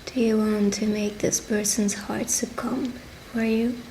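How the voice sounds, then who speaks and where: A woman's voice: mid-range voice, little pitch movement, measured pace, mellow. Woman giving tarot reading, noisy background. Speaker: tarot reader and roleplay host. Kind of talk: witch roleplay tarot reading addressed to the listener. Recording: clear background ambient noise.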